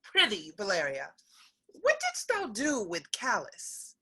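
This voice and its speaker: A woman playing an anxious young Spanish gentlewoman in a period comedy, with hesitant, theatrical old-fashioned speech. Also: medium pitch, wildly swooping pitch, slow, slightly rough, heavily acted. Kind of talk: period stage comedy acting.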